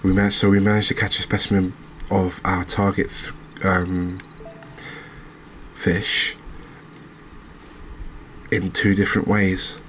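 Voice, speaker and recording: A man giving soft-spoken, unhurried ASMR commentary as he plays a game, musing aloud to his viewers. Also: deep voice, monotone, slight background noise. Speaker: game streamer, host.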